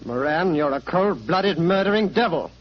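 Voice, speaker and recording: An actor with a British accent playing an earnest, honourable young army captain in a vintage radio drama. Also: male voice, a little high, animated, moderate pace. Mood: indignant. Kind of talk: 1940s radio drama acting. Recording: muffled 1940s radio recording, faint hiss.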